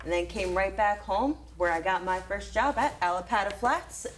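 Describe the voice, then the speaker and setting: A woman's voice: medium pitch, expressive, moderate pace, slight background noise. A woman teacher from Florida, earnest and enthusiastic as she answers interview questions about her career. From Florida, USA.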